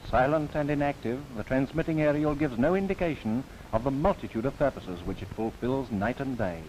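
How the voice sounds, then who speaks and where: A man narrating a scripted 1930s documentary film in a formal, measured, earnest manner. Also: medium pitch, a little mellow. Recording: noisy 1930s film soundtrack.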